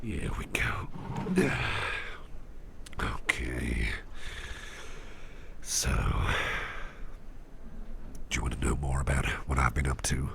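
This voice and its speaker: A man performing an ASMR roleplay as an attentive boss, speaking in a gentle, reassuring, breathy half-whisper with an unhurried delivery. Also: very deep voice, fairly even intonation, a little hiss or hum. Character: soft-spoken, attentive businessman boss who researches legendary artifacts. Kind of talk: ASMR roleplay, intimate one-sided chat.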